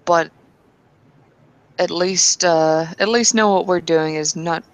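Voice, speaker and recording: A woman's voice: lowish voice, takes it slowly. A woman playing a tabletop roleplaying game, speaking in character, earnestly and with some hesitant restarts.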